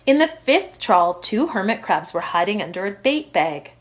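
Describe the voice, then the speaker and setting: A woman reads a storybook to young children in the manner of a primary school teacher. Her pitch moves in a lively way, and she leans on key words with expressive emphasis. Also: moderate pace. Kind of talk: children's storybook read-aloud.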